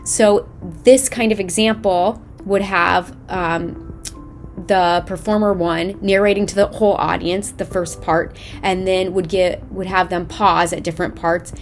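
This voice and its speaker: Woman teaching over soft music. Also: lowish voice, steady tone, a little slow. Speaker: drama instructor. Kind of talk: scripted instructional narration. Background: background music.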